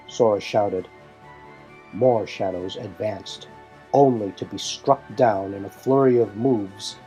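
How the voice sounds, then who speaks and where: A man's voice: mid-range voice, warm tone, poor audio. A man reading scripted fantasy narration in a formal, dramatic storytelling manner. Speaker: narrator and reader.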